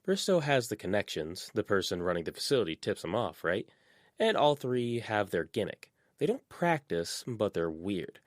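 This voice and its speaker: A man voicing a talkative, sharp-tongued teenage girl who tells stories bluntly. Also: lowish voice, sing-song, moderate pace, no echo, clean studio sound, voice pushed up. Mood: gossipy. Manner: chatty, blunt storytelling.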